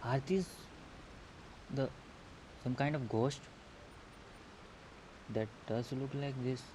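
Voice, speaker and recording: Male game streamer, mid-range voice, casual halting reactions, noisy hissing earphone-mic sound. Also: mid-range voice, warm tone. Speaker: gaming video host. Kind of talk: casual gameplay commentary. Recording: hissy, murky earphone mic.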